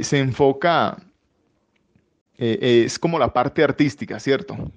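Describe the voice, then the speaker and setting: A man, a voice-over artist and announcer, answering interview questions in a measured, hesitant way with many fillers. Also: medium pitch, a little mellow. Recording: dull lo-fi sound.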